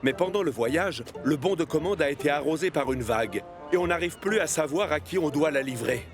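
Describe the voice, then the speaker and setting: A man's voice: fairly high pitch, lively intonation, fairly quick, music in the background, lightly acted. A man acting as the storybook narrator of a children's cartoon, reading scripted narration. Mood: storytelling.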